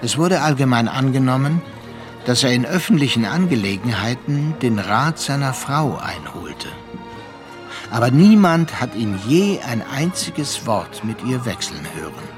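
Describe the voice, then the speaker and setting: Measured male radio drama narrator over music. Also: medium pitch, sing-song.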